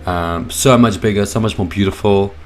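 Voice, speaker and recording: A young man with a hoarse, froggy voice from a cold, hosting a casual live stream from his home office, hyped up and greeting viewers in the chat by name. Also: on the low side, normal speed, soft background music. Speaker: streaming host.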